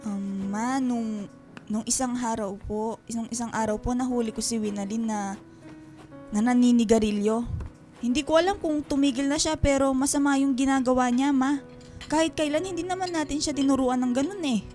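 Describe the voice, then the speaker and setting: A woman's voice: high voice, fairly even intonation, normal speed, warm tone, faint music behind, close to an everyday voice. A woman playing a worried, scolding elder sister in a Filipino radio drama, pleading and reproachful. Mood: hesitant, troubled.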